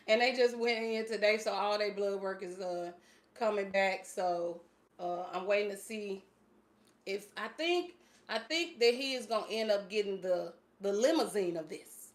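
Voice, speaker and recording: A woman's voice: mid-range voice, unhurried. A woman in her late forties hosting a casual livestream, chatting loosely with frequent fillers and laughs. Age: 40s. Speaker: fitness channel host.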